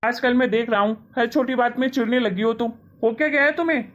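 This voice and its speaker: A woman's voice: a little high, fast, dull audio, natural voice. Casting: woman as indignant young husband, exasperated and scolding, for a moral-story family quarrel. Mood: exasperated, angry. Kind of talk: acted dialogue in Hindi family story.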